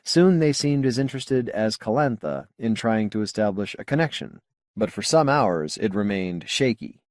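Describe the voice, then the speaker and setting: A man reading a science fiction novel as an audiobook narrator, with measured, clearly phrased delivery. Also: fairly low pitch, animated, dry recording, studio quality.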